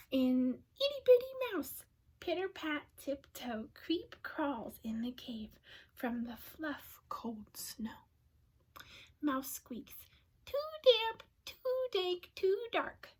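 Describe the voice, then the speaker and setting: A woman reads a children's storybook aloud in a high voice. Her pace is very slow and her delivery expressive, often softening to a hush as she involves young listeners. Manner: expressive, often dropping to a breathy hush, asks listeners questions. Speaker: storybook reader. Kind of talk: children's read-aloud narration.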